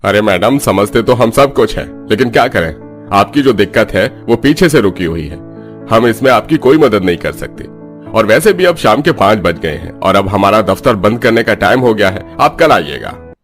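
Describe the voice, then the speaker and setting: A man's voice: fairly low pitch, expressive, moderate pace, faint music behind, lightly acted. A man voicing a dismissive, unhelpful government office clerk in a cartoon. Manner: matter-of-fact, dismissive.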